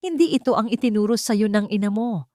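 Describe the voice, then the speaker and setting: A woman's voice: mid-range voice, expressive, a little fast, a little mellow, no room sound, clean studio sound, voice pushed up, lightly acted. A woman voicing a stern, proud older father in an audiobook drama, speaking firmly and reproachfully. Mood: stern reproach. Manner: firm, emphatic, reproachful.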